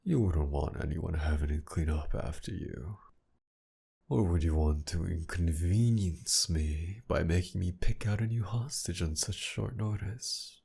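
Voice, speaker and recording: A man playing a sadistic, possessive, flirtatious villain in an intimate ASMR roleplay, taunting in a breathy, teasing drawl. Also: unhurried, warm tone, exaggerated voice. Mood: cold threatening. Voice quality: low, gravelly, breathy.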